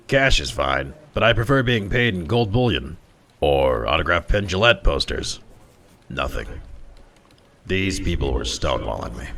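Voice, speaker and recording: Guy doing noir detective narration, super deep voice that jumps around, preachy deadpan cop, music underneath. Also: heavily acted. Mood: commanding. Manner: deadpan, dramatic, emphatic. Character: zealous, self-righteous hardboiled libertarian male police detective. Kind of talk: hardboiled first-person noir parody narration.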